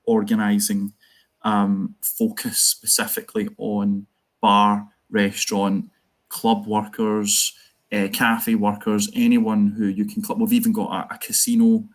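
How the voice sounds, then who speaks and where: Glaswegian male union organiser, fairly low voice, earnest campaigning delivery. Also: completely flat delivery. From Scotland. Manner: earnest, campaigning, quick asides. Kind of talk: online panel talk.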